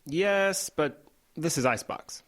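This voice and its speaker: A man's voice: on the high side, animated, takes it slowly, fairly bright tone. A man playing a practical, laid-back station technician in an audio drama, matter-of-fact and dry. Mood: wry, advising.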